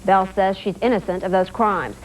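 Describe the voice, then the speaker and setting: Female news anchor reading the script, mid pitch, faint hiss on old tape. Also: moderate pace, low-quality recording.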